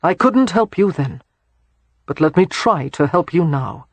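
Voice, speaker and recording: A man's voice: a little high, lively intonation, unhurried, husky, no room sound, heavily acted. A man voicing a steely, protective male war hero who speaks in a firm, clipped way. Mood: gentle.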